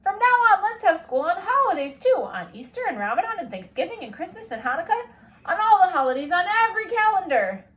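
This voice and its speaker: Woman playing an exuberant, booming, high-pitched school principal. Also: sing-song, fairly bright tone, exaggerated voice. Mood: exuberant pride. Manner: booming, drawn-out, emphatic exclamations. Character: proud, exuberant male school principal, gushing over learning. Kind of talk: storybook character read aloud.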